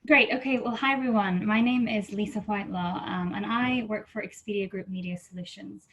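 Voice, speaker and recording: Presenter: woman with a faint Scottish accent, friendly and businesslike, mid pitch, on a video call. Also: brisk, a bit bright, muffled recording. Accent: light Scottish. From Scotland. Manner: friendly, businesslike, some ums. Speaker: media partnerships lead presenting. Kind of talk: webinar presentation.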